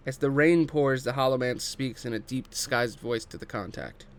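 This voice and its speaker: Mid-pitched man narrating a script. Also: normal speed, clean tone. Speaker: narrator of a table read. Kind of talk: scripted narration of screenplay action.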